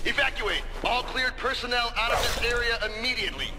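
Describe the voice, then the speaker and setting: A man's voice: extremely high pitch, brisk, heavy background noise. A man voicing an urgent military agent in a video game, calling out commands. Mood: commanding, panicked. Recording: loud game music behind.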